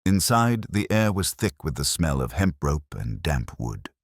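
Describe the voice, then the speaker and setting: A man narrating a Victorian detective story in a measured, literary and theatrical manner. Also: extremely low pitch, big pitch swings, no echo, studio quality. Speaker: audiobook narrator playing Dr. Watson.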